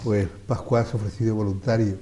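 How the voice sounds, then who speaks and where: A male TV host chatting on a studio set in a lively, joking way. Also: fairly low pitch, quick pace, a crowd in the background. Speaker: TV show host. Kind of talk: casual studio chat.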